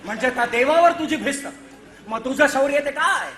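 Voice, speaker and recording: A man's voice: very high-pitched, a little fast, noisy background. A man playing a boastful, jolly veteran father in a comic stage play, with blustering, teasing delivery. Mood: blustering, probing. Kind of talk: theatrical one-act stage comedy acting. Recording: echoey stage recording.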